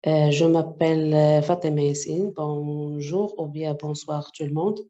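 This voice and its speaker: Casting: woman, first language Arabic, slow halting speech, gravelly dark tone, dull lo-fi call audio. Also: deep voice, fairly even intonation. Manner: halting, many hesitations. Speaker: journalist, section editor. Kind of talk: webinar discussion. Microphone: video call.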